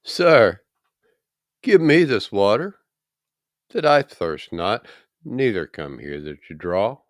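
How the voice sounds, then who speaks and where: A man's voice: fairly low pitch, sing-song, slow, no echo, studio quality, pitched above the performer's own voice. Man reading as an earnest, questioning village woman. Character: questioning, respectful village woman from ancient scripture.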